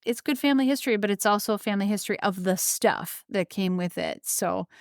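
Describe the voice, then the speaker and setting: A woman from Wisconsin, co-hosting a podcast, telling a family story in a chatty, animated way. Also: medium pitch, a little fast, creaky, no echo, clean studio sound. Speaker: podcast co-host, antique shop owner. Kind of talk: casual storytelling chat.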